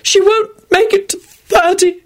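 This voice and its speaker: A woman's voice: extremely high pitch, steady tone, a little slow, pitched above the performer's own voice. A woman voicing a dramatic, tragic fortune-teller witch in a narrated story. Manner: soothing, sighing, screeching when crossed.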